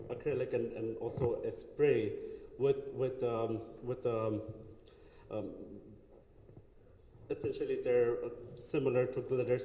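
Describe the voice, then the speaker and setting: A young man from Bangladesh giving an artist talk in a gallery, hesitant, with many fillers and restarts. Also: mid-range voice. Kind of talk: casual artist talk, answering questions. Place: gallery hall. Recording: echoey hall, noisy room.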